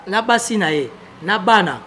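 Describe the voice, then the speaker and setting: High-pitched, emphatic Congolese man. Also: expressive, moderate pace, music in the background. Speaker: political analyst being interviewed. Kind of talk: political commentary in an interview.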